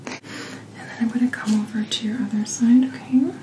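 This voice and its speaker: A woman speaking in a soft, breathy whisper, gently and reassuringly playing a doctor in an ASMR roleplay, close to the microphone. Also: fairly high pitch, fairly even intonation, fairly quick, a little hiss or hum. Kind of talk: soft-spoken ASMR medical checkup roleplay.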